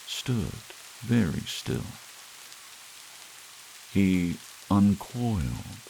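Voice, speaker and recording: A man reading a short story aloud in a hushed, measured ASMR style, with soft rain behind him. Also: sits low, gravelly, a little hiss or hum, rough recording. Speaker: audiobook narrator. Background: soft rain sounds.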